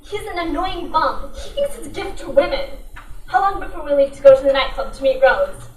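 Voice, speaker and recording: A very high, theatrical voice in an echoey room: a woman acting a scornful lady crook. She is mocking and hot-tempered, in amateur radio crime drama style, a little far from the mic. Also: lively intonation, a little fast. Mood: gloating. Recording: echoey room, distant mic, faint noise.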